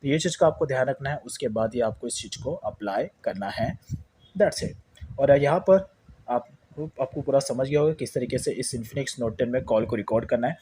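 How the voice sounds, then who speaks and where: A man's voice: medium pitch, normal speed, warm tone, husky. A man with an Indian accent giving a casual step-by-step phone tutorial, explaining repetitively and mixing in English words. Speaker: tech tutorial host.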